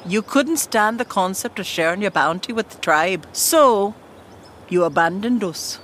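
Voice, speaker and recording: Woman playing a slow-spoken, stately queen mother. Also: medium pitch, animated, a bit bright, slight background noise. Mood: accusing. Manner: formal, measured, regal. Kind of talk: scripted fantasy audio drama acting.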